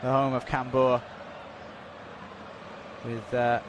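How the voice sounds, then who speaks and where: Male football commentator, measured delivery, mid pitch, clear outdoor background noise. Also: monotone. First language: not Dutch. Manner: measured, informative, filling time with stats and updates. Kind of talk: live sports commentary during a stoppage.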